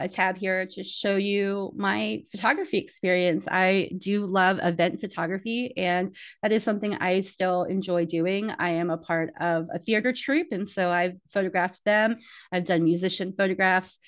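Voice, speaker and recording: Chatty woman walking through her website. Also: clear, resonant tone. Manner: chatty, many ums and uhs, lively pitch swings. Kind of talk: casual screen-share walkthrough.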